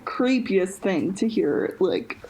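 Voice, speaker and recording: A young woman co-hosting a podcast in casual chat, halting, with frequent restarts and quick laughs between phrases. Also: medium pitch, animated, dark tone, slight background noise, low-quality recording. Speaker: podcast co-host.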